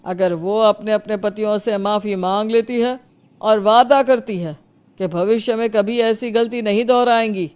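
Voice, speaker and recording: A woman's voice: mid-range voice, steady tone, normal speed, darkish tone, smooth, close to an everyday voice. A woman voicing a stern, domineering older male village council head in a cartoon story, scolding with authority.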